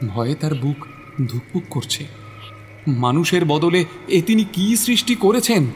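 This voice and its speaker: A man's voice: a little high, big pitch swings, measured pace. A man narrating a scripted horror story in a suspenseful, theatrical manner. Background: prominent dramatic music.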